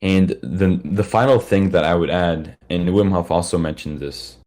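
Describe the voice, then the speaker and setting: A man's voice: sits low, normal speed, a little mellow. A man with a tired, worn-out voice giving a casual, rambling live lecture to listeners, with many fillers and restarts.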